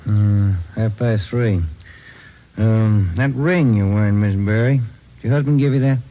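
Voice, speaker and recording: Male, American, lowish voice actor playing a steady, plainspoken police partner in old radio drama, muffled recording. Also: unhurried, close to an everyday voice. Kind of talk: 1940s radio drama acting.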